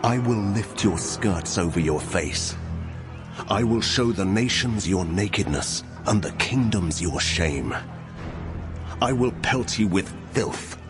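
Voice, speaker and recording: A stern, menacing God pronounces judgment. The voice is very deep and moves slowly and solemnly. Music plays clearly behind this dramatized scripture reading. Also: male voice, expressive. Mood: wrathful. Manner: grave, deliberate, menacing. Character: God, male, solemn, wrathful, commanding divine judge.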